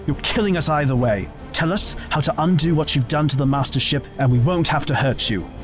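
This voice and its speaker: An actor playing a pleading, frustrated crash survivor in an audio drama, reluctant to fight but insistent. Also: male voice, on the high side, fairly quick, over music, natural voice. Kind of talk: full-cast audio drama acting.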